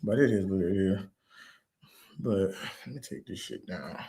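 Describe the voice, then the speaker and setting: A man rambles through a relaxed, profane livestream chat. His talk is loose and slangy, picked up by a laptop mic at home. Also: sits low, moderate pace, gravelly. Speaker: stream host. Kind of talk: casual late-night livestream chat.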